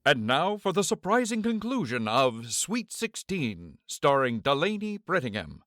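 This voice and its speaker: A man's voice: fairly high pitch, big pitch swings, unhurried, fairly bright tone, dry recording, clean studio sound. A man announcing in a dramatic, portentous old-time radio style. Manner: theatrical, portentous.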